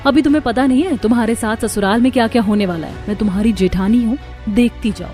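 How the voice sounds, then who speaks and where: A woman's voice: on the high side, quick pace, warm tone, soft background music, close to an everyday voice. A woman voicing a scornful, jealous elder sister-in-law in a cartoon story. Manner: scornful, threatening.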